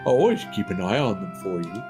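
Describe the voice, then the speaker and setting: A man's voice: low voice, animated, quick pace, over music, pitched below the performer's own voice. A man voicing a big, chatty, friendly video game townsperson with a sweet tooth and a simple, lilting delivery. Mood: chatty, wistful.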